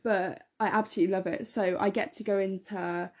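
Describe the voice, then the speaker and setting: A teenage girl, a bit hoarse, chatting enthusiastically as podcast co-host with lots of fillers. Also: medium pitch, fairly even intonation, fairly quick, a little mellow. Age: teens. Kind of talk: casual podcast chat.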